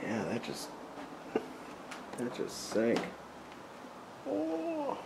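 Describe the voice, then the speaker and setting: American man, casual vlog narration, lively swooping pitch, creaky voice, wry muttered asides. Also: drawn-out words. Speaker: urban explorer vlogger. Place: inside and behind a derelict abandoned house. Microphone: handheld camera mic. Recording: noisy handheld camera audio.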